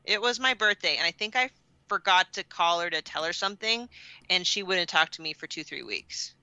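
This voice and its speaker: A woman's voice: on the low side, fairly quick, bright, forceful tone, smooth, no room sound, muffled recording. A woman co-hosting a podcast, chatting casually and candidly with emphatic phrasing, close to the microphone. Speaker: podcast co-host. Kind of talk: casual personal chat.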